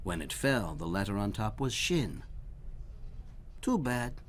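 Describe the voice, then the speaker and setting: A man reading a children's story aloud in a dramatic, sometimes hushed storytelling manner. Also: on the low side, lively intonation, normal speed.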